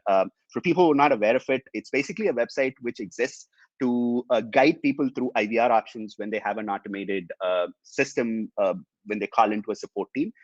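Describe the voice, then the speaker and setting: A man's voice: mid-range voice, no echo, muffled recording. A man whose first language is Tamil, presenting a business webinar with many ums and uhs as he explains. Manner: many fillers, hesitant, explanatory. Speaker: webinar speaker, company executive.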